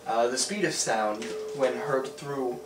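An earnest young man explaining a home experiment at a middling pitch. He restarts his sentences often and clears his throat. The voice is roomy and distant, with a steady hiss, from a camera mic across a small room. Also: slow. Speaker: video host. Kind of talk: home science demonstration. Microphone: camera mic from a few feet away.

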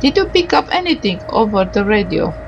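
A woman doing a casual game playthrough, reading the on-screen dialogue aloud in a halting, tentative way and sounding tired. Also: medium pitch, big pitch swings, gravelly, highs cut off, noisy background.

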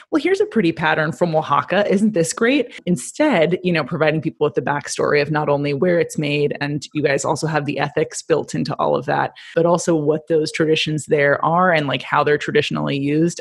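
Husky-voiced woman podcast host. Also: low voice. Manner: enthusiastic, chatty. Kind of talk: casual interview chat.

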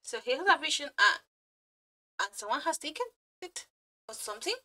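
A woman giving a casual live reaction as a streamer, excitable and giggly, swearing freely like a fangirl. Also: high-pitched, normal speed. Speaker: streamer reacting to game character demo videos. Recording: music behind the voice.

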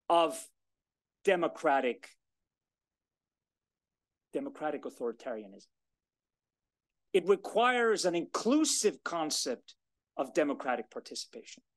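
A man in his thirties gives a formal keynote from a lectern, his voice sitting high for a man and swinging widely in pitch. He leans hard on key words and slows down to make a point. The sound carries across a mid-sized conference room. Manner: emphatic, deliberate, gesturing at a lectern. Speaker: keynote speaker. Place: conference room, medium size, indoors. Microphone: standing at a lectern, filmed by a far camera.

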